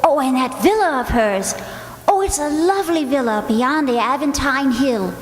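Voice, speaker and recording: Woman playing a flustered, high-voiced, gushing English lady. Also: lively intonation, moderate pace. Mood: chatty. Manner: breathless, dithering, repeats herself. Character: flustered, forgetful, gushing English sister-in-law. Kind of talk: radio play acting. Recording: echoey, reverberant hall.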